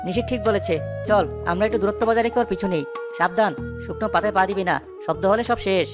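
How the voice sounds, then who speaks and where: A woman's voice: medium pitch, fast talker, over music, close to an everyday voice. A woman voicing a resolute young village man who leads his friends in a cartoon story, decisive and earnest. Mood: urgent, commanding.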